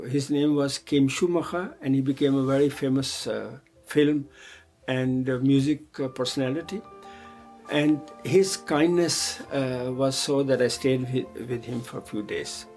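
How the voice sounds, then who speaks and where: A man's voice: medium pitch, darkish tone, faint music behind. Older man recalling his past. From Pakistan. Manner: reflective, unhurried, with frequent hesitations. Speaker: interviewee. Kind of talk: oral history storytelling.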